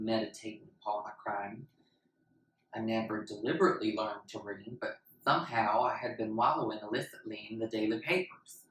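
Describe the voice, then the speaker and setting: A woman's voice: rumbling low, a bit bright. A woman narrating in the style of a dramatic book reading. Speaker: actor narrating. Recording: echoey, distant mic, faint noise.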